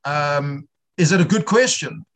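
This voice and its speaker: A man teaching an informal online religious class, putting questions to his students in a halting way, often repeating himself. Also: a little high, lively intonation, creaky, a bit bright.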